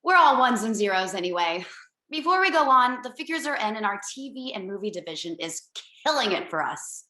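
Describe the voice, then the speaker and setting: Woman playing a bright, brash female boss. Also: a little high, big pitch swings, fairly quick. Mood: breezy, boastful. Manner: breezy, bossy, profane. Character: brash, self-absorbed female streaming company boss. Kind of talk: screenplay table read, comedic acting. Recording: roomy home video-call audio.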